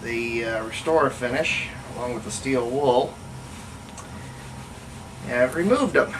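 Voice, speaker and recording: A hobbyist restorer giving a casual hands-on workshop demonstration, thinking aloud in a halting way as they work. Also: mid-range voice. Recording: noisy room recording with ambient sound.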